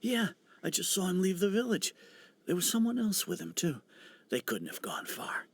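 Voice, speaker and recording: A man playing a plain-spoken, friendly villager in a video game, with a gravelly, husky voice. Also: high-pitched, big pitch swings, normal speed, no echo, exaggerated voice. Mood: casual, assured. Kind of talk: video game character dialogue.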